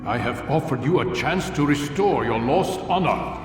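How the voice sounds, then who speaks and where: An actor playing a stern, cryptic old master of assassins in a video game, measured, commanding and condescending. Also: male voice, medium pitch, expressive, close to an everyday voice. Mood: stern, threatening. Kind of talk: dramatic video game acting. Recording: distant, cavernous echo, music behind.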